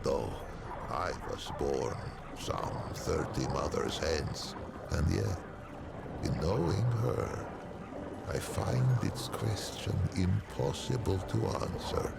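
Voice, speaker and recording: A man playing an ancient, learned non-human storyteller in a video game, narrating old lore in a stately, solemn, wry manner with archaic phrasing and deliberate pauses. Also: lively intonation, heavy background noise, exaggerated voice. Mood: solemn storytelling. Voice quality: very deep, gravelly. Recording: music behind the voice. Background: prominent background music.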